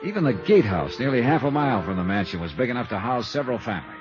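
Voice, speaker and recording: Wry radio detective, played by a man, lively delivery. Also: mid-range voice, rapid-fire, lightly acted. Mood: wry narration. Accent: American. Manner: wry, colloquial, clipped. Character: wry, brisk American male insurance detective. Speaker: private investigator and narrator. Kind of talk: 1950s detective radio drama acting and narration. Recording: dull 1950s radio recording, music behind.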